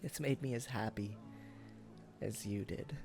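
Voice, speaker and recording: A man playing a tipsy, earnest young man wooing his old sweetheart in a boyfriend roleplay, speaking casually and haltingly. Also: fairly low pitch, over music. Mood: tender, pleading. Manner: halting, tender, casual, often chuckling. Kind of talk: boyfriend ASMR roleplay acting.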